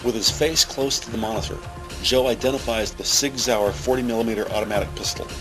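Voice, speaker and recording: A man as a factual crime drama narrator, reading scripted scene descriptions in a measured way. His mid-pitched voice rides over prominent music. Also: natural voice, rough recording.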